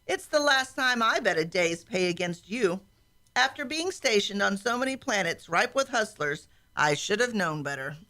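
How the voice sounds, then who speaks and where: A woman's voice: medium pitch, sing-song, normal speed, sharp tone. A woman playing a wry, seasoned starship officer in a science fiction radio play. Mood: teasing, rueful.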